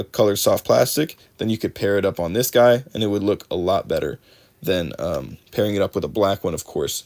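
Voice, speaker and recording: A fairly low-voiced man with a drawl talks through tackle on a livestream, chatting casually with viewers and correcting himself often. His voice sounds slightly worn, as if he is losing it a bit. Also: a little mellow. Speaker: fishing livestream host. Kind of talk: casual live unboxing chat.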